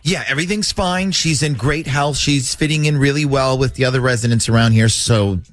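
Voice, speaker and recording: A man from a radio show making a prank phone call, talking in a calm, matter-of-fact way with hesitant fillers. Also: mid-range voice, fairly quick, no room sound, soft background music.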